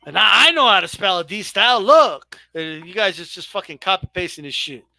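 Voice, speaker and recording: A man with a high, bright voice riffs loosely to his live chat about the fights, swearing freely and teasing the viewers. The sound is dry and close, with no room around him. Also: lively intonation, a little fast, creaky, no room sound. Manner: profane, chatty, banters with viewers. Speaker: boxing live-stream host. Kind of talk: casual live commentary to chat.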